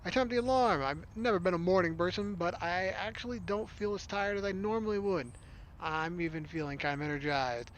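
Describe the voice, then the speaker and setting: A man's voice: high voice, fairly quick, a little hiss or hum, poor audio. A man reading a visual novel aloud as a let's player, in a casual first-person manner. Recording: loud background music under voice.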